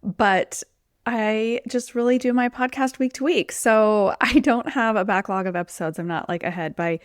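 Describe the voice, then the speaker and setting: A woman's voice: fairly high pitch, moderate pace, clear, resonant tone, dry recording, studio quality. A woman hosting her own podcast as a weight loss coach, talking in a chatty, conversational way, her voice a little off from being sick. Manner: chatty, conversational, says "like" and "um" often. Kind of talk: solo podcast talk.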